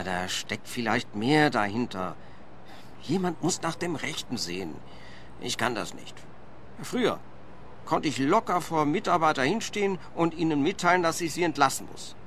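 A man's voice: fairly high pitch, sing-song, measured pace, fairly bright tone, a little hiss or hum, exaggerated voice. A thin-skinned rich landlord in a radio play. His voice swoops about as he frets and justifies himself in a plaintive, evasive way, with faint ambience behind. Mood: anxious, plaintive. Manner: fussy, plaintive, self-justifying. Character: fretful, evasive wealthy landlord, thin-skinned former boss.

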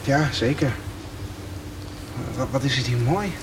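An actor playing an excitable, anxious male traveller in a radio soap. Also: mid-range voice, slow, noisy background, lightly acted. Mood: easygoing.

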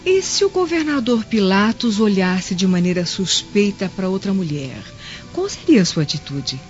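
A woman's voice: medium pitch, expressive, normal speed, a little mellow, natural voice. A Brazilian woman playing a flirtatious, cunning Roman noblewoman in a radio drama, coquettish and theatrical. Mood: probing, feigned innocence. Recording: muffled lo-fi audio, music underneath.

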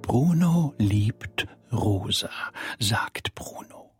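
An actor playing a deadpan, deliberate storyteller of absurd, grotesque tales in a radio play. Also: male voice, lowish voice, husky, soft background music, full character voice. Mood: hushed, terse. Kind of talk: literary radio play narration.

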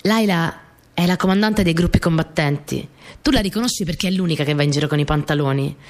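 Low-voiced earnest young partisan girl. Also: fast. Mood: earnest, reflective. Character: small, skinny young partisan courier girl, earnest, easily flustered. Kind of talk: first-person radio drama monologue, storytelling.

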